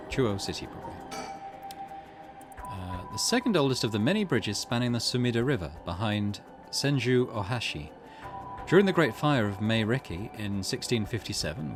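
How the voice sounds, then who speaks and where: Man playing a game, animated, over music. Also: fairly low pitch. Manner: thinks aloud in between reading. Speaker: gaming channel host. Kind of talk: let's play, reading a visual novel aloud. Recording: music under the voice.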